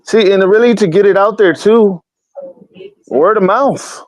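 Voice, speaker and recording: A man's voice: high-pitched, unhurried, dry recording, rough recording. A man hosting a casual streamed talk show, rambling in slang and swearing freely as he jokes around. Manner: slangy and rambling, keeps saying "you know what I'm saying". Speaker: host of a live talk show.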